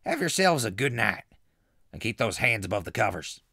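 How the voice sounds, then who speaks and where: Folksy wasteland hermit, played by a man, swooping pitch. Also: big pitch swings, moderate pace, vocal fry, bright, forceful tone, a little hiss or hum, heavily acted. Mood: obliging. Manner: drawling backwoods talk, chummy. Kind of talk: comic post-apocalyptic audio drama acting.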